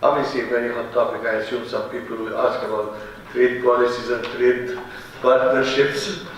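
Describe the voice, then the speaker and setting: A man introducing a guest speaker in a halting, lightly joking way. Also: medium pitch, steady tone, a little hiss or hum. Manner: halting, many fillers and restarts, joking. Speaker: event host introducing speaker. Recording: echoey room from far off.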